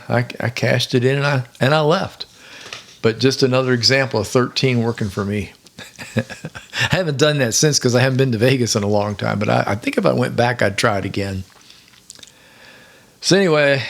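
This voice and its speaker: A retired man in his sixties hosting his own podcast, hoarse from singing, chatting in a rambling, easygoing storyteller manner. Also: medium pitch, fairly bright tone. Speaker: podcast host. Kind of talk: casual solo podcast monologue.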